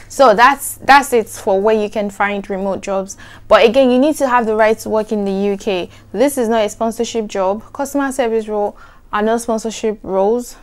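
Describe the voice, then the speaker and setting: A young adult woman with a soft, soothing voice, chatting to camera in an animated, emphatic way. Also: mid-range voice. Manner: animated, emphatic, repeats "trust me". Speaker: vlogger. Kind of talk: casual chat to camera.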